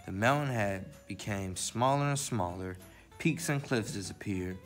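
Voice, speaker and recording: A man with a fairly low voice hosts a children's story time. He presents as a storyteller and casual instructor, speaking to young listeners. Music plays behind his voice. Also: measured pace. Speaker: library story time host.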